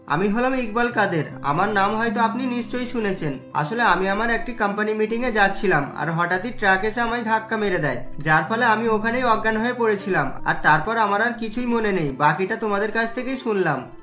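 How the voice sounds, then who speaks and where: A woman voicing a wealthy middle-aged businessman recovering from an accident, in a cartoon, talking low and subdued. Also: animated, a little fast, a bit bright, soft background music, close to an everyday voice. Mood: weary. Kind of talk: Bengali cartoon dubbing.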